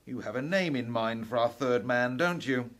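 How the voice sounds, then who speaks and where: A man playing an aging, protective Victorian professor in a radio drama, measured and authoritative. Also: mid-range voice, a bit bright, lightly acted. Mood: cautious. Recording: roomy, set back from the mic.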